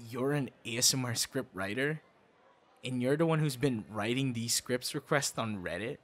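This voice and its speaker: A man playing a bashful young man with a secret hobby in a boyfriend audio roleplay, talking in a halting, flustered, conversational way. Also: mid-range voice, a bit bright. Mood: startled, flustered. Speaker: ASMR audio roleplay performer. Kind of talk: intimate boyfriend ASMR roleplay acting. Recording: clear background noise, ambience.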